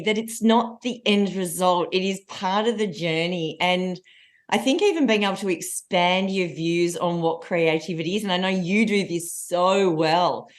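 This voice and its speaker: A woman in her forties with a loud voice, a coach telling stories as a guest in a casual podcast conversation. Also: mid-range voice. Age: 40s. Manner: energetic, animated storytelling with laughs.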